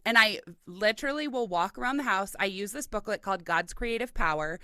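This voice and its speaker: A woman's voice: medium pitch, lively intonation, fairly quick, bright, forceful tone, no echo, studio quality. A woman hosting a podcast, chatting in an enthusiastic, affirming way. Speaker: podcast host. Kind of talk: casual interview conversation.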